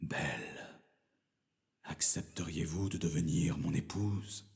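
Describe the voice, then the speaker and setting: Deep, slow storybook beast, acted by a male narrator: courteous, resigned, mellow and hushed. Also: steady tone, gravelly, full character voice. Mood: solemn, hopeful.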